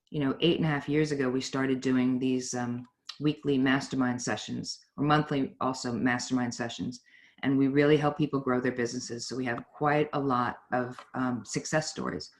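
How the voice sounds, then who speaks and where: Middle-aged woman, low voice, informal livestream host, rambling, digressive delivery. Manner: rambling, digressing, frequently jumping between points. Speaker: founder and host of a business network talk. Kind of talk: informal livestream talk, welcoming viewers.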